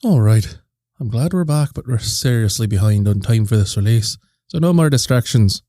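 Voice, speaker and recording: An exasperated, task-minded co-host acted by a man, soft-edged and mellow but very lively in pitch. The banter is casual and recorded dry. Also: normal speed, no echo, close to an everyday voice. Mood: mildly exasperated. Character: exasperated, focused male co-host keeping things on track.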